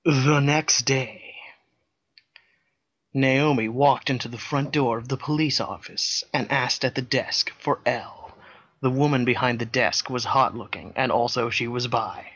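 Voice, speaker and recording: Deadpan man with a dull lo-fi sound. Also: medium pitch, a little slow. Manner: dry, deadpan, clears throat often. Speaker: narrator of a fan fiction reading. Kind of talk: comedic deadpan narration.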